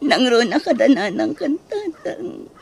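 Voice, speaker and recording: An actress playing a remorseful grown daughter in an Ilocano radio drama, pleading and emotive, with an Ilocano accent. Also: female voice, high voice, big pitch swings, unhurried, vocal fry, breathy edge, full character voice. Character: remorseful, pleading grown child seeking parents' forgiveness.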